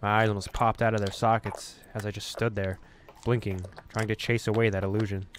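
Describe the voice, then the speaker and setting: Low-voiced man reading a horror story. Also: level tone, brisk, slight background noise. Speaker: gaming video host. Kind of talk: dramatic read-aloud of a horror visual novel with casual asides.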